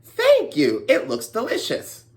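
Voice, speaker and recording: Woman playing an excitable, high-pitched old man. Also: slow pace, full character voice. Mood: grateful. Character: good-natured old man, easily delighted, in children's folktale. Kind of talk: children's storybook acting.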